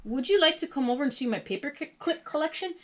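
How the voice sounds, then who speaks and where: A woman's voice: high-pitched, animated, fast, voice pushed up. A woman voicing a polite, earnest male puppet in a children's storybook, a little fussy and formal.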